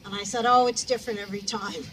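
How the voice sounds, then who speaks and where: A woman speaking at a memorial about a coworker, telling stories in a measured way. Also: medium pitch, steady tone, crowd noise. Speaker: deli worker speaking at a memorial. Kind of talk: memorial remarks. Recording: distant mic, noisy room.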